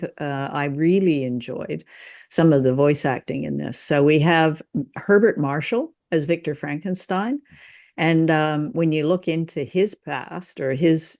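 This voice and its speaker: A woman's voice: deep voice, a little mellow. A woman co-hosting a review show in casual chat, informal and wry with a teasing streak. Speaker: co-host of a radio drama review show.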